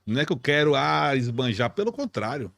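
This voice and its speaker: Podcast host, male, Bahian Brazilian accent, rapid and blunt, slangy street talk. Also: medium pitch, very animated, vocal fry, fairly bright tone, no room sound, studio quality. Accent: Bahian Brazilian Portuguese. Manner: blunt, slangy, emphatic, peppered with swearing. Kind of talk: informal podcast conversation.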